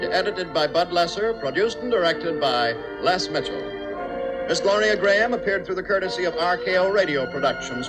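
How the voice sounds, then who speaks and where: A male announcer reads in formal 1950s radio style. His voice sits fairly high and moves in animated swoops, polished throughout. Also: poor audio.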